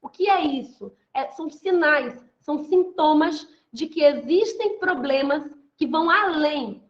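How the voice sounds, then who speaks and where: Chatty high-voiced woman from Bahia. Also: lively intonation, a little slow, highs cut off. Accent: light Bahian Portuguese. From Salvador, Bahia, Brazil. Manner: chatty, addresses listeners directly, many tag questions. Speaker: online lecturer and women's mentor. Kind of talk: online talk to an audience.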